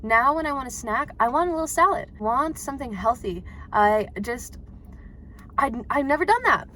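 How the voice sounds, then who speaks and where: A woman in her twenties talking casually to her viewers, chatty and quick to laugh. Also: high-pitched, a little hiss or hum. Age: 20s. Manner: chatty, laughs often, animated. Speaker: vlogger. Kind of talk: casual talk to camera.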